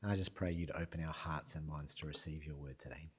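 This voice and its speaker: A man with a cold, preaching and leading prayer in a church, relaxed and self-deprecating, with many fillers. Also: a bass voice, fast, a little hiss or hum. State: croaky from a cold. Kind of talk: sermon with prayer.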